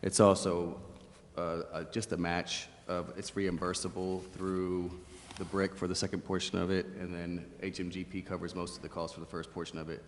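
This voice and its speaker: A man's voice: low voice, flat delivery, normal speed. A man giving a formal explanation as a staff member at a public meeting. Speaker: city staff member reporting to council. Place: indoor city council chamber. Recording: echoey council chamber with faint noise.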